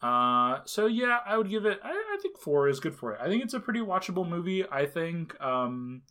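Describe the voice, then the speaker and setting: A relaxed podcast co-host talks through a horror film review in a loose, rambling way. The voice sits in a middle pitch, and the thoughts come out in halting bits joined by fillers. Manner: rambling, heavy on filler words. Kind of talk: casual movie review chat.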